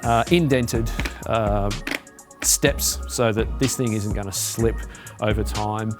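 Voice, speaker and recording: A man's voice: lowish voice, fairly even intonation, takes it slowly, music in the background. An Australian man, a business development manager, giving a conversational product walkthrough with lots of filler words and restarts. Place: demo facility.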